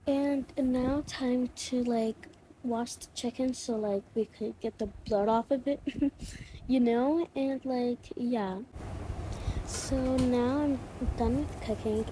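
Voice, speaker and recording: A woman's voice: fairly high pitch, background noise. A woman casually narrating a role-play routine video in an informal, hesitant, chatty way.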